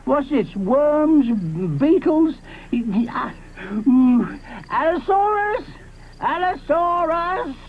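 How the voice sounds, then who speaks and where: A man's voice: very high voice, animated, drawn-out words, voice pushed up, exaggerated voice. Man doing a muddled old diplodocus grandpa. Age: older. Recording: tinny lo-fi with faint hiss.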